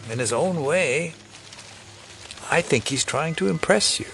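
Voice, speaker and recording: An actor playing a cynical, world-weary older conspirator, measured, fatalistic and wry, in an audio drama. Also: male voice, fairly high pitch, animated, vocal fry, fairly bright tone, a little hiss or hum, full character voice.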